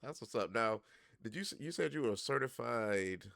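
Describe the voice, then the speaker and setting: Lively gravelly male podcast host. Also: medium pitch, moderate pace. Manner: laughs often, playful and effusive. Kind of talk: casual interview chat.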